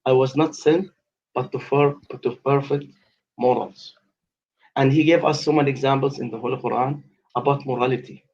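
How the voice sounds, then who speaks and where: A man's voice: mid-range voice, fairly even intonation, normal speed, darkish tone, slightly rough, lo-fi sound. A man in his late fifties from the West Bank, calling into a live debate aftershow and talking in an insistent, formal way. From West Bank, Palestine. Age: 50s. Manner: insistent, formal phrasing, repeats himself. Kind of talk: heated live discussion. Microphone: online call.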